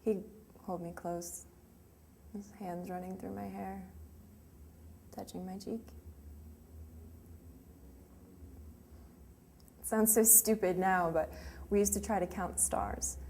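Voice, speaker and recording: A woman's voice: medium pitch, fairly even intonation, normal speed, few pauses, a little mellow, lightly acted. An actress playing a grieving young woman remembering her lost sweetheart in a hesitant, emotional, confessional monologue. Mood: tender, nostalgic. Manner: hesitant, emotional, with trailing phrases. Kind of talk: dramatic monologue, confessional and conversational. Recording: clearly noisy room ambience.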